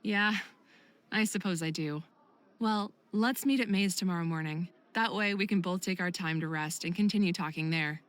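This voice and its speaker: A woman's voice: on the low side, normal speed, close to an everyday voice. A woman playing a protective, serious friend and mentor in a visual novel game, speaking in an earnest, admonishing way. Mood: apologetic.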